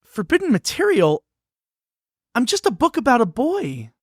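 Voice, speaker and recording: A woman's voice: on the high side, wildly swooping pitch, normal speed, breathy edge, dry recording, clean studio sound, full character voice. A woman acting as a cheerful, naive library book in a musical puppet show, earnest and questioning. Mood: puzzled, innocent. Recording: music behind the voice.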